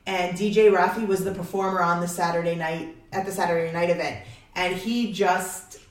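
A woman's voice: on the low side, steady tone, normal speed. Woman, middle-aged, interview host, chatty, laughing, roomy echo, distant mic. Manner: warm, chatty, laughs often. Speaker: podcast host. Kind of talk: casual interview.

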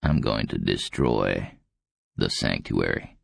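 A man voicing a bitter, vengeful old villain in an audiobook, with a dry, croaky growl. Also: extremely low pitch, lively intonation, takes it slowly, dry recording, voice pushed down, exaggerated voice. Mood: grim, vengeful. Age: older. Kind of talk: audiobook character acting.

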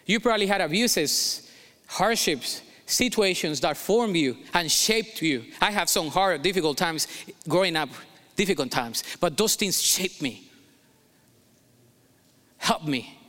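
A man's voice: sits high, expressive, unhurried, bright, forceful tone, big-room sound. A pastor from Colombia preaching a sermon in English with a Colombian Spanish accent, emphatic and repeating his key phrases.

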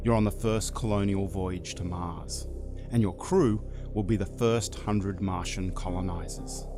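A man narrates a scripted documentary piece with a fairly low voice and measured storytelling delivery. Light music plays underneath. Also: steady tone. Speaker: narrator, presenter.

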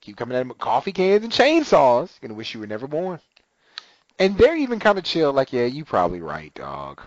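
Male co-host, casual recap, lively pitch, acts out lines; dry, muffled lo-fi sound. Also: brisk, no echo. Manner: animated retelling, acting out characters' lines. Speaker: TV recap podcaster. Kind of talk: casual chat, recapping an episode.

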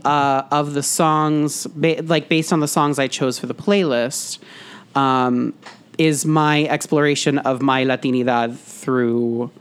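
A man's voice: medium pitch, little pitch movement, takes it slowly, clean tone. A man speaking as a podcast guest, telling personal stories in a casual, reflective, explanatory way.

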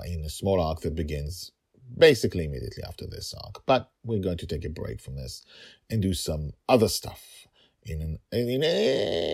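Very deep, gravelly middle-aged man narrating. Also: a little mellow. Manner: deliberate, dramatic pauses and emphatic repetition. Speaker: podcast host and author. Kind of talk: scripted story narration and podcast commentary.

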